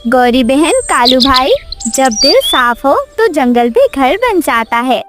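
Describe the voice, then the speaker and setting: A woman's voice: sits high, sing-song, slow pace, over music, full character voice. A woman voicing a kind, humble mother bird in an earnest, tender cartoon story style. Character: kind, humble mother sparrow, gentle and forgiving. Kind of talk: cartoon moral story acting.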